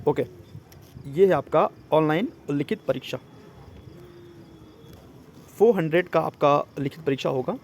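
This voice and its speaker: A man's voice: a little high, lively intonation, a little slow, pauses often, a little hiss or hum. A man gives an informal explainer, mixing in English words and often checking that listeners follow. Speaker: exam coaching presenter. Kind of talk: informal explainer of a job notice.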